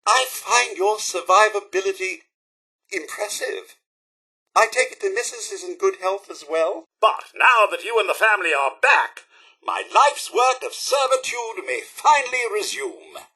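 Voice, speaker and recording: A man's voice: high-pitched, animated, measured pace, pushed and bright, husky, full character voice, poor audio. A man with a British accent voicing a devoted, fussy, sentimental robot butler in a video game, speaking formally and with effusive deference. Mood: courteous, chatty. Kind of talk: acted video game companion dialogue.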